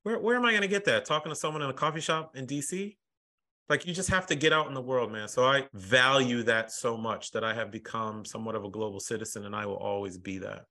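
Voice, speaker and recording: A man's voice: medium pitch, fast. An American man talking energetically and emphatically in a podcast interview as the guest. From United States. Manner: energetic, emphatic, calls people brother.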